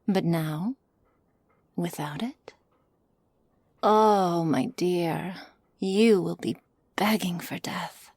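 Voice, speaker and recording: A cold, menacing witch, played by a woman in an ASMR roleplay, slow and measured. Her voice is breathy and often whispery, a little low for a woman. She sounds clinical, strict and condescending, recorded close and dry. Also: darkish tone, no echo, exaggerated voice. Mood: menacing, sadistic. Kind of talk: ASMR roleplay, scripted acting to listener.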